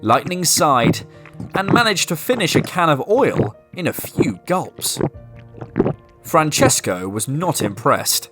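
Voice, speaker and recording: A man narrates a children's storybook at an unhurried pace. His pitch moves up and down in a lively way, and music plays clearly behind him.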